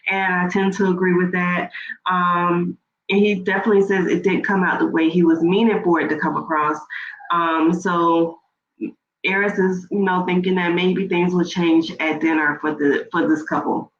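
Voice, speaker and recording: A woman's voice: lowish voice, flat delivery, normal speed. A woman with a stuffy nose, rambling casually as she recaps a reality TV show for her channel. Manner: chatty, rambling with fillers and restarts. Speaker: YouTube reaction host. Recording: echoey room, dull lo-fi sound, faint noise.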